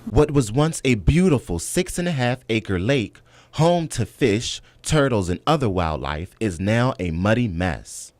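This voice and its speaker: Male American news reporter, scripted narration, animated intonation, close dry sound. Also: on the low side, unhurried, dry recording.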